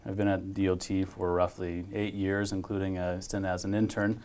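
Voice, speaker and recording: A man's voice: deep voice, little pitch movement, normal speed, a little mellow. A young man from Nebraska, a guest on a podcast, speaking in a matter-of-fact way. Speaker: environmental program manager, podcast guest. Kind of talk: casual interview chat.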